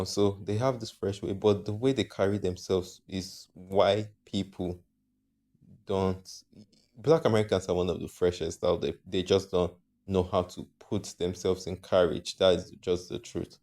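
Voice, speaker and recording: Brief: deep-voiced man from Africa, vlog host, loose and rambling, trips over himself. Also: fairly even intonation, normal speed, a little mellow. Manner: rambling, hesitant, often corrects himself. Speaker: channel host. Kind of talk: casual opinion vlog.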